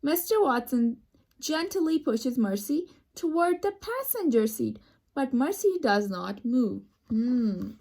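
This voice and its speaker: High-voiced woman reading aloud to children. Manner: expressive, addresses listeners as friends, pauses to explain pictures and words. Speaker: teacher reading a picture book aloud to children. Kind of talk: read-aloud lesson for young children with asides.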